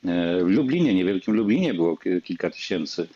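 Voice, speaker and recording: A man hosting a political talk show, moderating the debate in a halting way and repeating words. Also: low voice, big pitch swings, mellow, slight background noise. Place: indoors on a video call. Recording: dull, muffled video-call audio.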